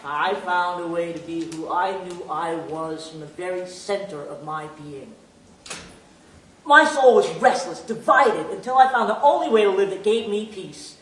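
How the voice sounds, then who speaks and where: A man's voice: high voice, animated, normal speed, fairly bright tone. An actor playing an earnest, restless minister and grandfather in a staged play reading. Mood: earnest, defiant. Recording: echoey stage, distant, faint noise.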